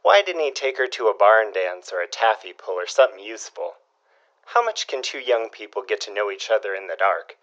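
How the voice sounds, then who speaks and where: A man's voice: on the low side, lively intonation, brisk, a bit bright, lo-fi sound. An actor playing a curious, folksy man with dry, old-fashioned turns of phrase, prying for gossip in a period audio drama.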